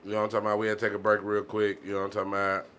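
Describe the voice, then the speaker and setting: A man's voice: on the low side, monotone, rapid-fire, a bit bright, clean tone. A man hosting a podcast in a casual, slangy conversational way, often checking in with the listener. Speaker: podcast host.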